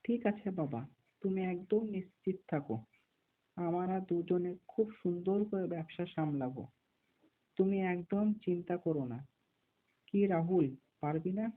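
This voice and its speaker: A man's voice: sits high, unhurried, pauses often. Man as dutiful young elder son, muffled. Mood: reassuring. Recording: narrow, phone-like muffled sound.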